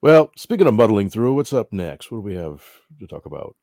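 Lively-voiced man on a dry mic. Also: fairly low pitch, fairly quick, vocal fry, dry recording. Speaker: podcast co-host. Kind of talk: casual hobby podcast chat.

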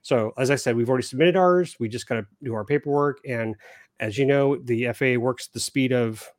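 A man's voice: medium pitch, a little fast, no echo, studio quality. A man co-hosting a casual hobby podcast, reading notes aloud with joking asides and filler sounds. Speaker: podcast co-host.

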